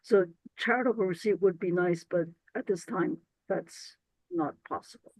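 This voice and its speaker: A woman presenting a grant application to council, her voice hoarse and scratchy today, talking earnestly and restarting phrases as she goes. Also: lowish voice, moderate pace, a little mellow, dry recording.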